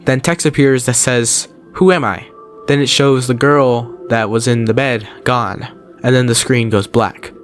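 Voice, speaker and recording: Man narrating a horror recap over music. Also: mid-range voice. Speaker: video narrator, host. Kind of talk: scripted recap commentary on horror videos. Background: background music.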